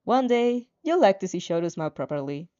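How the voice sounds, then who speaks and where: Expressive woman reading aloud, dull lo-fi sound. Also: mid-range voice, fairly quick. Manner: animated, playful asides, stumbles over words. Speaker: fan fiction reader reacting as she reads. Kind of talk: expressive story narration with casual reactions.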